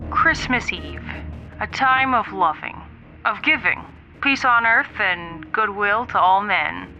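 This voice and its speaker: A woman's voice: medium pitch, animated, takes it slowly, sharp tone, lo-fi sound, heavily acted. A woman playing a chatty, old-fashioned radio host in an audio drama, talking straight to listeners in a folksy, grumbling way through a radio filter. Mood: sentimental. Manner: folksy, addressing listeners directly. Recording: thin radio sound with faint music.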